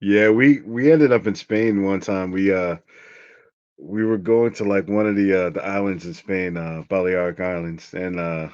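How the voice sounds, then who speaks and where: A man's voice: sits low, steady tone, moderate pace, darkish tone, smooth, dry recording. A middle-aged man telling a story in a casual, rambling way, full of "like". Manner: rambling, lots of "like". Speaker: interview guest. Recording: dull lo-fi sound.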